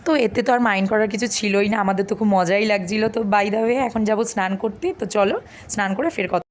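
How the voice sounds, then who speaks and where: A woman's voice: mid-range voice, brisk. A young woman with a West Bengal Bengali accent chatting casually to viewers in a vlog, addressing them as friends and mixing in English words. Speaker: vlogger. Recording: faint background noise.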